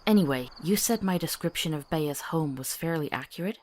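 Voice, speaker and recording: Woman as a wry, lively, low-voiced young apothecary. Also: brisk, a little hiss or hum. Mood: sardonic. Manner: wry, questioning. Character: curious, skeptical young apprentice apothecary woman. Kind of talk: visual novel voice acting.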